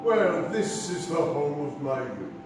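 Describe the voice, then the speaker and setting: An actor playing a menacing, grandiose criminal in a stage comedy, with a deliberate, pompous delivery. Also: male voice, fairly high pitch, big pitch swings, over music. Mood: sinister, smug. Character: menacing, grandiose criminal nephew with altered face. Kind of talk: theatrical stage comedy acting. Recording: distant, noisy, echoey theater.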